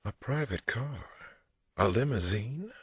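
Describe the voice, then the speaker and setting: A man voicing an elegant woman on a romantic date, speaking softly and with delight. The recording is dry and lo-fi. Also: low-pitched, expressive, moderate pace, slightly rough, no room sound, voice pushed up, full character voice. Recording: dry, lo-fi, phone-like.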